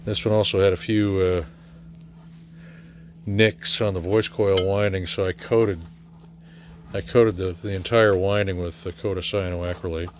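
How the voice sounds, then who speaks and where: A middle-aged American man giving a casual, halting, matter-of-fact walkthrough as a repair technician, filming in his workshop. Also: sits low, fairly even intonation. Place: cluttered indoor repair workshop. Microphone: handheld camera or phone mic. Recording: faint background noise.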